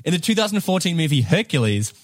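Relaxed man, dry close sound. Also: on the high side, no echo. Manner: relaxed, chatty, quick asides. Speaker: podcast co-host. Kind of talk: casual chat. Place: home studio, ground floor.

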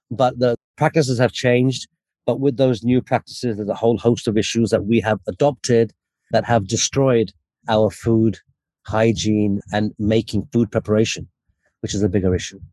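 A man's voice: mid-range voice, dark tone, no echo. A man born in the UK, a guest in an interview, speaking in an earnest, opinionated way. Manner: earnest, opinionated, opens with Arabic invocations. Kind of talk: interview answers.

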